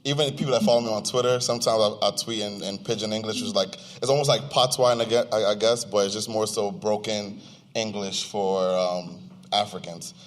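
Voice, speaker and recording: A man's voice: fairly low pitch, steady tone, brisk. A young American man co-hosting a podcast, chatting informally and with slang in a slightly distorted live event recording. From United States, of Nigerian descent. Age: young adult. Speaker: podcast co-host. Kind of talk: casual chat to a live audience. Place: live event venue with audience.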